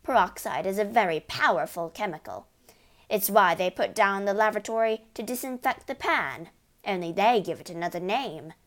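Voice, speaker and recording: A woman's voice: mid-range voice, lively intonation, moderate pace, fairly bright tone, close to an everyday voice. A woman voicing a scornful, scolding storybook mother, exasperated and apt to shriek at her husband. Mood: withering.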